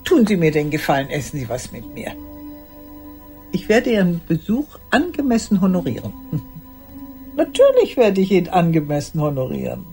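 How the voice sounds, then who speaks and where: Einsame alte Dame, tief und lebhaft moduliert. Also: female voice, lowish voice, wildly swooping pitch, moderate pace, warm tone, soft background music. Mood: courteous, insistent. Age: older. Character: lonely, genteel old woman recalling her past. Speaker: actress in a radio play. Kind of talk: radio play monologue.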